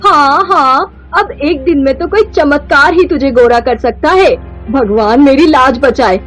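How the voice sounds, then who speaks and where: Woman acting scornful high-voiced mother-in-law. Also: unhurried, fairly bright tone, soft background music, low-quality recording. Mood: irritated scolding. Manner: taunting, theatrical scolding. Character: scornful, short-tempered middle-aged mother-in-law. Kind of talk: melodramatic Hindi moral-story cartoon acting.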